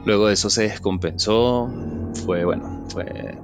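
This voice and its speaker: A man tells a personal story in a soft, reflective, halting way. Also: on the low side, music in the background. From Venezuela.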